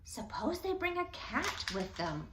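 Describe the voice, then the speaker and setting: A suspicious old uncle from a storybook, acted out by a woman, grumbles with emphasis. The voice is raised in pitch and very lively, in an echoey room. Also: unhurried, noisy background. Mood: suspicious, grumbling.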